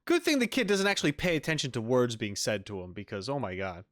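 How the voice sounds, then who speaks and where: A man's voice: medium pitch, huge pitch swings, quick pace, a bit bright, no room sound, clean studio sound. A man giving casual, wry, joking commentary as he streams a video game.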